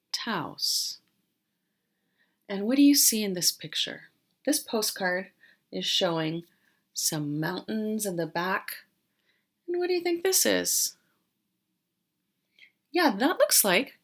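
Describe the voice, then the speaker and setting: A woman teacher doing an interactive read-aloud for young children, explaining as she goes and pausing after questions. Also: mid-range voice, big pitch swings, creaky. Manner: questioning, explanatory, with pauses for answers.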